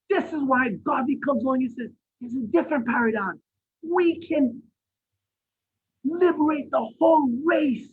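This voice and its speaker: A Haitian-born man giving an impassioned lecture over a video call, asking rhetorical questions and rising to near shouts. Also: extremely high pitch. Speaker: guest speaker. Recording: phone-like line from an online event.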